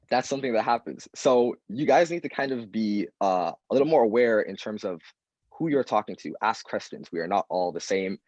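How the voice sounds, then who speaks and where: A young man speaking at an open mic panel, talking earnestly and directly and quoting people in lively voices. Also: medium pitch, no room sound, muffled recording. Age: young adult.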